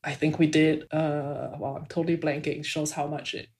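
A woman's voice: low voice, level tone, normal speed, a little mellow. A woman, talking casually as an interviewed author and filling her speech with "like" and "um". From Singapore. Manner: chatty, many "like" and "um" fillers. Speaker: author guest.

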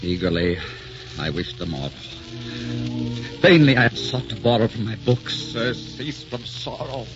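An actor playing a grieving, tormented poet husband with a brooding, melodramatic delivery in the style of 1940s radio drama. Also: male voice, mid-range voice, animated, unhurried, natural voice. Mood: feverish grief. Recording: muffled old 1940s radio recording, music behind.

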